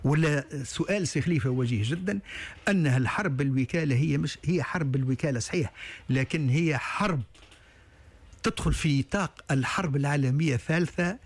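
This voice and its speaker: Man, Tunisian Arabic accent, talk show guest, halting with many restarts, somewhat high-pitched. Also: slightly rough. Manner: hesitant, many stalled restarts and fillers. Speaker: guest analyst. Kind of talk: studio talk show discussion.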